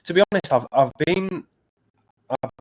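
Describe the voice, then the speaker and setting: A man with a Northern English accent from Preston, talking as a battle rapper guest in casual interview chat, earnest and halting with many restarts. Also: fairly high pitch, low-quality recording. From Preston, England.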